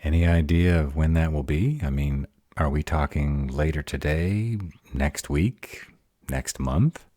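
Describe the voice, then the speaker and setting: A man playing a protective, grumpy were-dragon boyfriend in a fantasy audio drama, gruff but deferential to authority. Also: extremely low pitch, measured pace, mellow, dry recording, clean studio sound. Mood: questioning.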